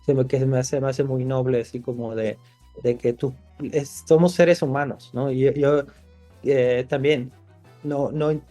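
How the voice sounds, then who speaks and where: Screenwriter guest from Italy, male, relaxed dark timbre, reflective with restarts, faint music bed. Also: mid-range voice, a little slow, no room sound. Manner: thoughtful, many restarts and fillers. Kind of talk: podcast interview.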